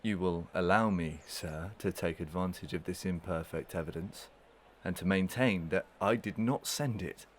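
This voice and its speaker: An actor playing a haughty, evasive gentleman villain in a Victorian melodrama, pompous, formal and disdainful. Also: low voice, normal speed, slight background noise, close to an everyday voice. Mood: scornful, defiant. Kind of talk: Victorian melodrama audio play acting.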